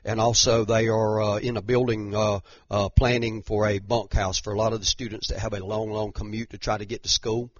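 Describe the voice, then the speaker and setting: A man speaks as a pastor in a low, flat, unvaried voice. He hesitates often and fills gaps with "uh" and "um." The sound is dry and muffled. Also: a little fast, few pauses, dry recording. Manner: hesitant, filler-heavy. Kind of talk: church announcements.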